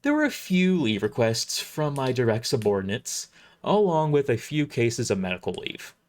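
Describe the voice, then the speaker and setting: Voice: medium pitch, very animated, moderate pace, darkish tone, natural voice. A performer voicing an irritable, imperious military starship admiral, narrating an audio drama in formal, wordy first person with stumbles over the script. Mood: dry, formal.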